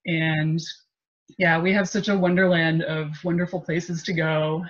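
A woman's voice: low-pitched, little pitch movement, a little slow. A woman from Ohio, a panelist photographer, talking in an upbeat, enthusiastic way on a video call. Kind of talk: casual video call chat. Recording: dull video call audio.

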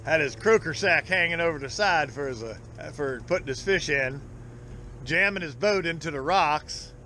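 A man's voice: fairly high pitch, expressive, moderate pace, bright, forceful tone. A middle-aged man with a Southern US accent, a charter fishing captain chatting casually and jokingly with salty language out on a boat. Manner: folksy, salty-tongued joking. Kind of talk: casual chat while fishing. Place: outdoors on an open fishing boat at sea. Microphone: action camera on the boat. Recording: outdoor boat and engine noise.

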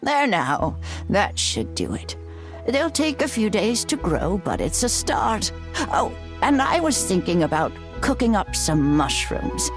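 A woman's voice: mid-range voice, sing-song, measured pace, soft background music. An actress playing a feisty, affectionate old grandmother in a video game, with a folksy, doting manner. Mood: gentle, doting. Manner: folksy, doting, hesitant and chuckling. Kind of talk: animated video game voice acting.

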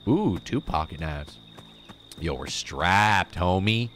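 A man voicing a cocky, gruff male hero in a game, talking in a slow mock-tough drawl. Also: low voice, lively intonation, fairly bright tone, faint music behind, pitched below the performer's own voice, full character voice.

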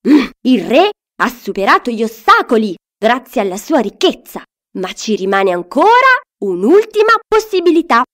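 A fairy godmother from a storybook, as a woman narrator plays her. She instructs emphatically, scheming and encouraging. Her pitch is high and very animated. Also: moderate pace. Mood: determined.

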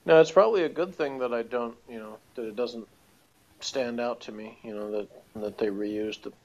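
A man's voice: medium pitch, normal speed, a little mellow, rough recording. A man from Texas co-hosting a casual, conversational commentary on a TV episode. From Texas, United States. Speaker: podcast co-host.

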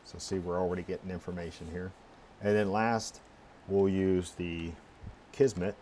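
Low-voiced man thinking aloud. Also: fairly even intonation, darkish tone, a little hiss or hum. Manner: halting, unscripted, muttering through steps. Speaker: tutorial presenter. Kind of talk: casual screencast walkthrough, thinking aloud.

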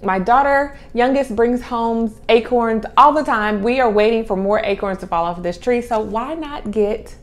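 Higher-voiced middle-aged woman, casual vlog host. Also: normal speed, hardly any pauses. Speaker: homeschooling mother and vlog host. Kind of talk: casual chat to camera, presenting children's books. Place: small home room with sloped ceiling. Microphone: clip-on lapel mic.

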